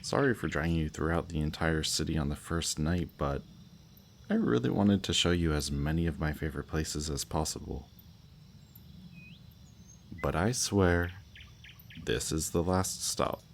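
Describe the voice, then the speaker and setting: A man playing a smug, flirtatious villain kidnapper in an intimate roleplay audio drama, talking in a teasing, softly menacing way. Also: a bass voice, a little slow, vocal fry, long pauses, a little hiss or hum, full character voice. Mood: wistful, coaxing. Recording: outdoor night bird and insect ambience. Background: birdsong and crickets at night.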